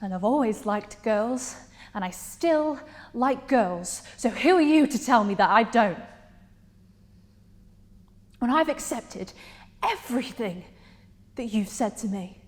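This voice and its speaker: A woman performing a dramatic stage monologue as a wounded, defiant young woman, deliberate and emphatically articulated with weighted pauses. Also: a little high, animated, voice pushed up. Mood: pained confession.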